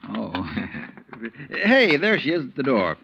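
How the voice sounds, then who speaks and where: An actor playing an easygoing, joking insurance branch manager in a 1950s radio drama. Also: male voice, mid-range voice, takes it slowly, gravelly, heavily acted. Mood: breezy. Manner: relaxed, joking and breezy. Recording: muffled old radio recording, faint hiss.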